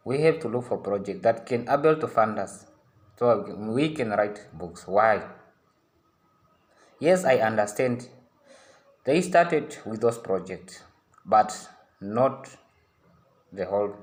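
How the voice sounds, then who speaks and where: A man's voice: lowish voice, animated, takes it slowly, pauses often. Earnest young man pleading. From Namibia. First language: a San language. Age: young adult. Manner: earnest, pleading, repeats himself and restarts. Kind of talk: impassioned public appeal.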